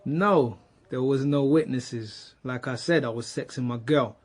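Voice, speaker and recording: An actor playing a swaggering eighteen-year-old suspect, slangy and cheeky, in a radio drama. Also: male voice, medium pitch, a little slow, natural voice. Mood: cocky, insolent. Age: young adult. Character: cocky eighteen-year-old male street youth, insolent murder suspect. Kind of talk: naturalistic radio drama acting.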